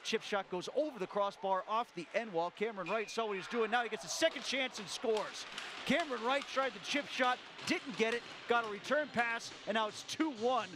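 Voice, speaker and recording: A man calling a live hockey game play by play, quick calls that jump up on every goal. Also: sits high, background noise. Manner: rapid calls that rise sharply on goals. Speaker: play-by-play announcer.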